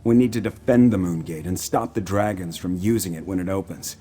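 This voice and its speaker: A male actor as a sober army commander from a fantasy video game, with a fairly low voice. He speaks in a measured, formal manner, earnest and a little weary, over faint music. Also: mellow, natural voice. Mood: resolute, sober. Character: earnest, weary Imperial military commander, fair-minded. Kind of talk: video game character acting.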